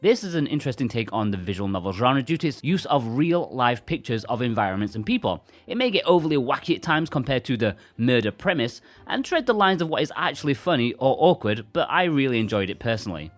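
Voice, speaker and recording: A man's voice: mid-range voice, very animated, fast talker, hardly any pauses, dry recording. A man presenting a scripted video game review as narrator.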